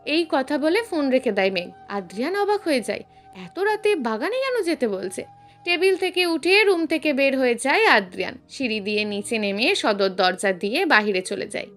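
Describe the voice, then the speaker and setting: Female narrator, high-pitched and very lively, reading romantic serial fiction over music. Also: normal speed. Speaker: story narrator.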